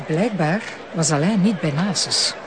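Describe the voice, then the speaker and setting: A woman's voice: lowish voice, animated, unhurried, background noise. A woman playing a self-assured, level-headed prosecutor in a radio drama, composed and articulate, with a Flemish accent. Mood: questioning.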